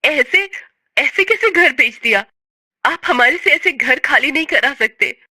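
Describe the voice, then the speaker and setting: A woman voicing a poor, long-suffering mother in a story, gentle, earnest and pleading. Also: sits high, animated, unhurried, no room sound, studio quality, pitched above the performer's own voice, exaggerated voice. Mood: distressed. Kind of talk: dramatized story reading.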